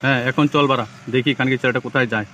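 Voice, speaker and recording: A man's voice: medium pitch, fairly even intonation, racing, pushed and bright, no room sound, lightly acted, low-quality recording. A man voicing a swaggering, foul-mouthed, commanding village gang boss in a comic cartoon. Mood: threatening. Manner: crude, commanding.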